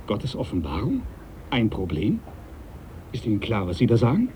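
An actor playing a devout, irritable young aristocratic ship's captain in a radio drama, dogmatic and formal in manner. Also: male voice, lowish voice, wildly swooping pitch, normal speed, warm tone, a little hiss or hum. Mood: dogmatic, indignant. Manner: dogmatic, formal, easily affronted.

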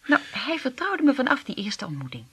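A woman's voice: high-pitched, huge pitch swings, a little fast, a crowd in the background, full character voice. An actress in a radio drama, playing a cool, poised royal woman working as a secret agent. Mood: confiding, explanatory.

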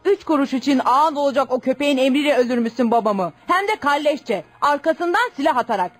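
Casting: fierce young peasant avenger for radio play, high, declamatory, music underneath. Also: female voice, lively intonation, a little fast. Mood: cold vengeance. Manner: menacing, resolute, declamatory. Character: fierce young peasant woman avenging her murdered father. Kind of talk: Turkish radio play acting. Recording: music clearly behind the voice.

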